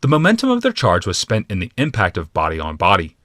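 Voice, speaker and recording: Low, forceful male audiobook narrator. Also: wildly swooping pitch, fast talker, no room sound, studio quality. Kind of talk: scripted dramatic narration of fantasy fiction.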